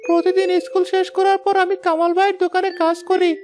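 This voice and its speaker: Woman voicing an earnest, very high-pitched little village boy. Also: little pitch movement, fast, over music. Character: earnest, caring, responsible schoolboy son of a poor village family. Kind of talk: scripted children's moral story cartoon dubbing.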